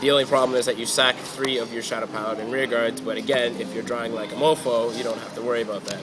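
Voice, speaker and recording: A man walking through his card game deck in a relaxed, offhand way, swearing now and then and saying he is sick and losing his voice. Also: medium pitch, quick pace, noisy background. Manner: laid-back, swears casually, trails off with fillers. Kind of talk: casual deck walkthrough. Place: hobby store.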